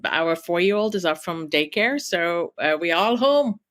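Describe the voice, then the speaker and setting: A woman's voice: lowish voice, animated, a little slow, fairly bright tone. A woman in her thirties or forties from Germany with a German accent, chatting as an interview guest from her home office, with lots of fillers and restarts. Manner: chatty, many fillers and restarts, laughs often. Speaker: guest journalist and author. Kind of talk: conversational interview.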